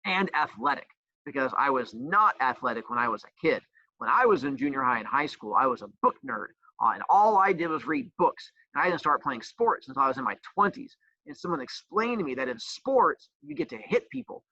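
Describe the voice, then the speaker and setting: A man's voice: medium pitch, very animated, fairly quick, dry recording, highs cut off. A man teacher who also coaches, explaining a homework assignment with playful jokes and teasing. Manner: says he has a loud voice.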